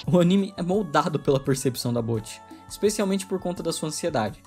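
A man narrating a scripted video essay in a brisk, opinionated, wry way, with music under the voice-over. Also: fairly high pitch, expressive.

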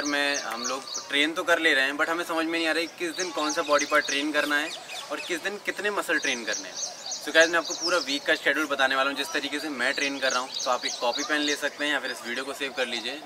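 A man's voice: fairly high pitch, fast, background noise. A young man in his twenties giving casual workout advice on an outdoor rooftop, chatty and peppered with fillers. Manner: chatty, mixes English into Hindi, frequent "uh" fillers. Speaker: fitness YouTuber. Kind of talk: casual instructional vlog. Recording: outdoor recording with clear birdsong. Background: birdsong and outdoor ambience.

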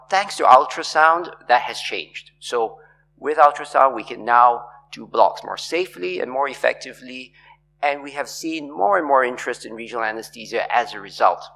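Gravelly middle-aged man lecturing from a podium. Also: medium pitch, pushed and bright. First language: not French. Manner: measured, explanatory, with frequent uh fillers. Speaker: conference lecturer. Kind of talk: academic conference talk. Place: large lecture hall, on stage at podium. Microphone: podium microphone.

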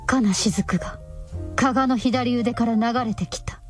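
A woman's voice: fairly high pitch, unhurried, a little mellow, soft background music. A woman delivering dramatic, scripted narration for a radio drama.

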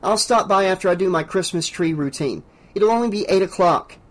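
A man voicing an excitable, boastful teenage boy who talks eagerly and pleads. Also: high voice, fairly quick, voice pushed up, lightly acted. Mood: reassuring.

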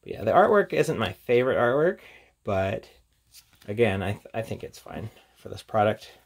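Low-voiced man with a gravelly creak. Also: very animated, normal speed. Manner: informal, off-the-cuff. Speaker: reviewer, presenter. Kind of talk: casual unboxing and review chat.

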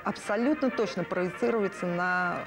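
A woman's voice: on the low side, moderate pace. Middle-aged woman reminiscing over music. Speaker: artist, interviewee. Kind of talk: personal reminiscence in a documentary interview. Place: indoor room among puppets.

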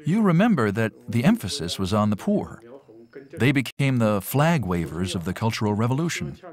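A man explains past events in a reflective tone, his voice lively and varied in pitch. His pitch sits mid-range for a man, and the line reads as a dubbed interview voice-over.

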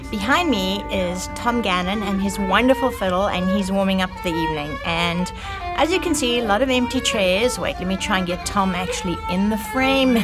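A woman's voice: mid-range voice, rough recording. Chatty woman vlogging to her phone. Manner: lively and chatty, with wry asides. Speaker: vlog host and author. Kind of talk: chatty selfie vlog. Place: home room with bookshelves, then a large community hall. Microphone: phone held at arm's length.

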